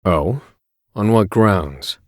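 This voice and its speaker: A man voicing a grave, literal-minded scholar of magic in a video game, speaking in a formal, measured and stern way. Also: sits low, expressive, a little hiss or hum, exaggerated voice. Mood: dry, resolute. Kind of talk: dramatic video game voice acting.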